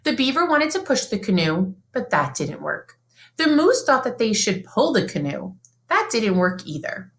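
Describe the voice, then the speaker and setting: A woman reads a picture book aloud to young listeners, her pitch swooping up and down as she tells the tale. Her delivery is sing-song and expressive, in the manner of a teacher at story time. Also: normal speed. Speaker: teacher reading aloud to children. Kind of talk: children's read-aloud storytelling.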